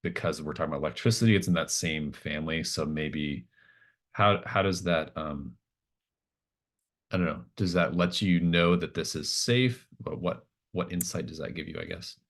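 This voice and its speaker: A man acting as game master, narrating a tabletop roleplaying game casually and thinking aloud with hesitant pauses. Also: very deep voice.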